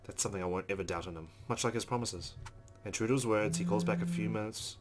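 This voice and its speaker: A man's voice: lowish voice, fast talker, over loud music. A man playing a visual novel, reading its narration aloud and dropping in casual asides as a let's player. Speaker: let's play gamer.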